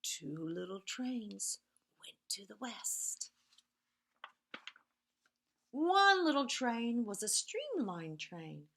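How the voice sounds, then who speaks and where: A woman reading picture books aloud to young children in an expressive, sing-song way, sometimes hushed, pausing to point things out to her listeners. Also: a little high, gravelly. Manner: animated and sing-song, drops to hushed, whispery repeated sound words.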